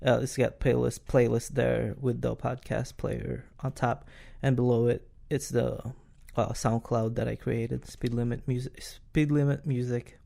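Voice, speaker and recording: A man hosting a podcast interview, asking questions in a halting way with many fillers and restarts. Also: medium pitch, flat delivery, vocal fry, dark tone. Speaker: podcast host. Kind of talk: casual interview chat.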